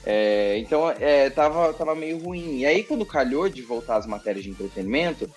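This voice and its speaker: A man with a Brazilian accent, a TV journalist chatting as a podcast guest, telling a story in an animated, expressive way and repeating words for emphasis. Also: mid-range voice, moderate pace, soft background music. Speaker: TV journalist and presenter, interview guest. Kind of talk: casual podcast interview storytelling.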